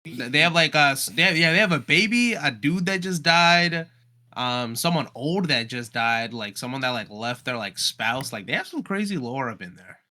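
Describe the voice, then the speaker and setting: Very bright-voiced man in casual chat. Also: mid-range voice, animated, normal speed. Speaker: podcast co-host.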